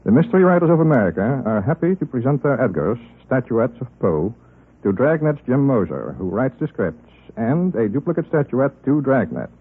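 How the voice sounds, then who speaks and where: A man's voice: mid-range voice, sing-song, moderate pace, husky. A man giving a formal scripted award presentation as an association president on a vintage radio broadcast. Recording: tinny vintage radio recording.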